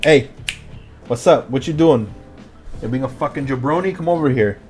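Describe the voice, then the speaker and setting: A young man with a rough voice hosting a podcast in a casual, laid-back chat, swearing freely as he talks. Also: medium pitch, moderate pace, darkish tone, faint music behind. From Mexican heritage. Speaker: podcast host.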